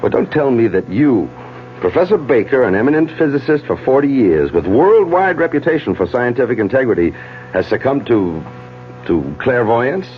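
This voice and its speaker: Radio drama role: polite, affable young physician voiced by a man, lively intonation, hesitant courtesy, muffled vintage broadcast sound. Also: medium pitch, moderate pace, close to an everyday voice. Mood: surprised, concerned. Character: affable, polite younger male brain surgeon. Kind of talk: 1970s radio drama acting. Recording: dull 1970s radio recording, faint music.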